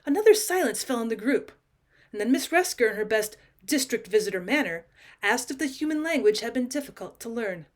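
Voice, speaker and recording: Higher-pitched woman reading a classic story. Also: fairly quick. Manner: expressive, acts out dialogue. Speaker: teacher and audiobook reader. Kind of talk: scripted storytelling narration.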